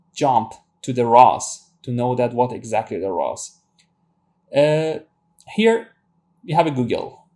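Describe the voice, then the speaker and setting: Persian-accented man with a mellow voice. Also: medium pitch. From Iran. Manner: hesitant, many fillers and restarts. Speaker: robotics instructor. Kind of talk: screen-share tutorial lecture.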